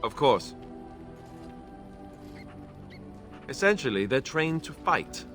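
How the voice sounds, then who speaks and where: An actor playing a wry, self-deprecating warrior in a video game, explaining things with dry sarcastic asides. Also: male voice, mid-range voice, very animated, moderate pace, a bit bright. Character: wry young male ex-Templar warrior, sardonic, self-deprecating. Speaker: former Templar. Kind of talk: video game character dialogue. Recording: prominent music behind the voice.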